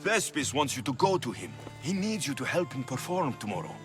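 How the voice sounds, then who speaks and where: A man's voice: mid-range voice, sing-song, a little fast, music in the background, close to an everyday voice. A man playing a determined ancient Greek mercenary in a video game cutscene, blunt and no-nonsense. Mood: earnest. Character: gruff, determined ancient Greek mercenary hero, forthright and protective.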